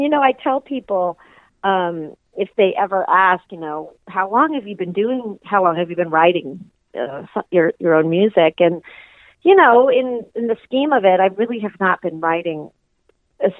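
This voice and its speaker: A woman's voice: mid-range voice, animated, moderate pace, no echo. A woman musician being interviewed, speaking reflectively and hesitantly with many restarts. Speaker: musician guest. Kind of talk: casual interview chat. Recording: tinny phone line.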